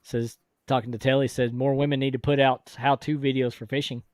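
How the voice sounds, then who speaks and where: Hoarse man hosting a fishing chat. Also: medium pitch, brisk, no room sound, clean studio sound. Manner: relaxed, reads out viewer comments. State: hoarse, deeper voice from illness. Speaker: podcast host. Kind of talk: casual chat on a live fishing podcast.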